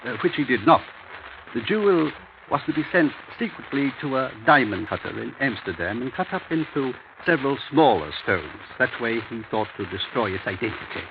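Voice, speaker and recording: Fussy old lawyer, animated delivery. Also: male voice, medium pitch, moderate pace. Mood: dry, emphatic. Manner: avuncular, formal, with muttered hesitations. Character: brisk, fussy older English family lawyer. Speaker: actor in a radio drama. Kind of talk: old-time radio drama acting. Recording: tinny, noisy vintage radio recording.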